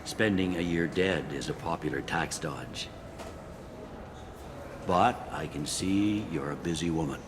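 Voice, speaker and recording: A pragmatic human police captain in a sci-fi game. His voice is low and his delivery is wry, blunt and matter-of-fact. Clear ambient noise sits behind him. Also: unhurried, long pauses. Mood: wry, helpful. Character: gruff, pragmatic human male police captain on a space station. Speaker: security captain character. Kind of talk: video game dialogue.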